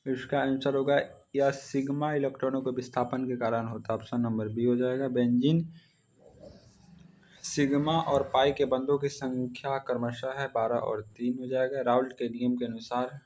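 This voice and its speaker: A man's voice: medium pitch, steady tone, moderate pace, a little mellow, slight background noise. An Indian man teaching exam practice, reading out questions and following each with a short answer.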